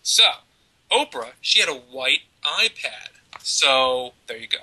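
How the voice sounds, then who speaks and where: A man's voice: mid-range voice, very animated, takes it slowly, pushed and bright, rough recording. A man in his thirties from Baltimore, co-hosting a casual parenting chat over a video call from a room at home, rambling and joking at his own expense. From Baltimore, US East Coast. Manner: rambling, joking, self-mocking. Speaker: podcast co-host. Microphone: headset on a video call.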